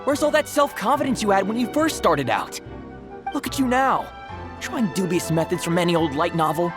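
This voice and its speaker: A weary skeptical editor. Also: male voice, high-pitched, very animated, normal speed. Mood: scolding. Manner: exasperated, sighing. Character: weary skeptical male editor, dry and exasperated. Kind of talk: game voice acting. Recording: game audio with faint music.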